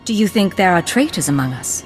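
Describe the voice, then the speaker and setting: Woman as a low-voiced, composed secret agent. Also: expressive, a little fast, soft background music, close to an everyday voice. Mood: composed, questioning. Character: defiant, cool-headed female Imperial secret agent. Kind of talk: video game dialogue.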